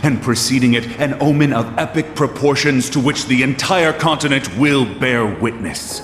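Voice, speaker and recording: A man playing a grave villain in a video game cutscene, foretelling doom in measured, portentous phrases. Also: medium pitch, a bit bright, a little hiss or hum, natural voice. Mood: ominous. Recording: echoey hall reverb, prominent music behind.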